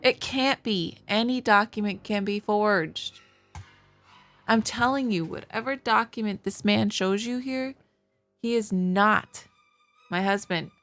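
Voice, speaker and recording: A woman's voice: medium pitch, slow pace, a bit bright, faint music behind. A woman playing an indignant, defiant young wife in a visual novel, with dramatic, emphatic delivery. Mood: disbelieving, insistent.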